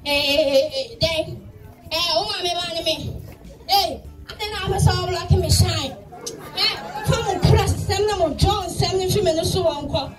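A woman's voice: very high voice, unhurried. A woman playing a bossy, pestering character in a live outdoor stage drama. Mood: insistent. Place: open courtyard, outdoors. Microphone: handheld PA mic, filmed from afar. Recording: distant outdoor PA, music and crowd.

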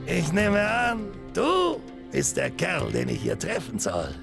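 A man's voice: fairly high pitch, huge pitch swings, slow pace, full character voice. A man playing a wary, businesslike contact handing over goods in a game. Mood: guarded. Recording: music clearly under dialogue.

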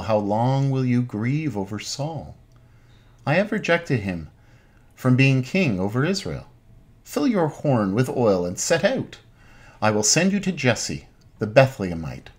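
A middle-aged man, an Anglican priest, giving an informal online sermon from his home den in measured, halting phrases. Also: mid-range voice. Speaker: Anglican priest, parish pastor. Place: small home den with bookshelves.

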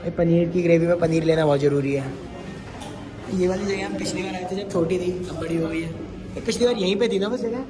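A man's voice: high-pitched, brisk, over music. Joking young man vlogging at home. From India. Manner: joking, teasing, laughs often. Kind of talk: casual vlog chat. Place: small home kitchen and room indoors. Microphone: handheld phone camera. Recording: echoey room, faint noise.

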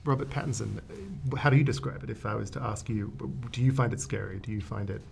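A man in his thirties interviewing two guests at a press junket, chatty and quick to laugh. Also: fairly low pitch, creaky, slight background noise. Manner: chatty, laughs often, friendly questions. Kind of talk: casual interview chat. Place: hotel press junket room, indoors.

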